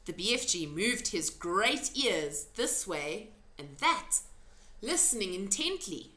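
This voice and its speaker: A woman's voice: mid-range voice, sing-song, takes it slowly, sharp tone, reverberant. A woman narrating a children's story expressively, as an amateur reader.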